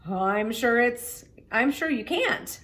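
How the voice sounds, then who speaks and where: A woman acts out a folksy storybook mailman, a grown man with wry opinions. He speaks emphatically, with lively rises and falls, as he offers his theories. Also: a little high, takes it slowly, pitched above the performer's own voice. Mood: emphatic agreement. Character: folksy adult male mailman, wryly opinionated.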